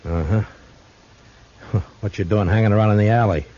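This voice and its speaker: An actor playing a calm, dry-witted, authoritative American frontier marshal in an old-time western radio drama. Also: male voice, fairly low pitch, moderate pace, natural voice. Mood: wary. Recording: muffled old radio recording.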